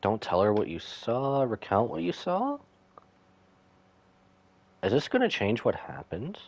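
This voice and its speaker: A man's voice: mid-range voice, wildly swooping pitch, a little slow. A man giving casual, offhand live commentary as he streams a game, musing aloud.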